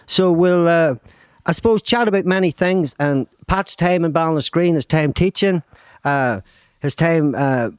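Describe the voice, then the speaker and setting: A man's voice: fairly high pitch, unhurried, smooth, no echo, clean studio sound. A middle-aged man with a Northern Irish accent, hosting a casual chat, talking with lots of fillers and laughs. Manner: chatty, laughing, many fillers. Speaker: podcast host.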